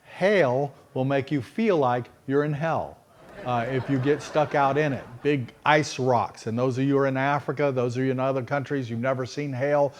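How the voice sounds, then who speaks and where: A middle-aged man with a Texas accent, preaching in a folksy, conversational way and slowing down to stress key phrases. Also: mid-range voice. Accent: Texan. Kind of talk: sermon-style storytelling.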